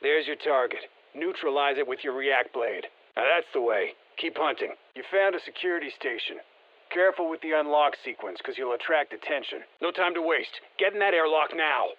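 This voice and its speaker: A man's voice: a little high, expressive, moderate pace, dull audio. An actor playing a confident, folksy male soldier in a video game, giving colloquial, clipped tactical briefings through a radio filter. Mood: urgent. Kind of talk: scripted game voicelines, mission briefing.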